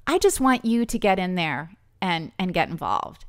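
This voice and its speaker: A woman's voice: lowish voice, sing-song, normal speed. Earnest woman thinking aloud. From British Columbia, Canada. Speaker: podcast guest. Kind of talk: conversational interview.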